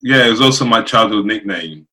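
A man from Nigeria chatting casually as an interview guest, often halting and rephrasing as he goes. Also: fairly low pitch, expressive, bright, forceful tone, rough recording. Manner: halting, circling back to rephrase. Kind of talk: casual chat. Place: remote video call.

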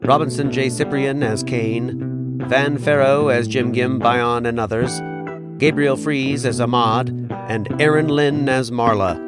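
A man delivers scripted closing credits for an audio drama in a steady, formal read. His voice sits mid-range. Guitar music plays loudly beneath him. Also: medium pitch, measured pace. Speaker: audio drama producer, narrator.

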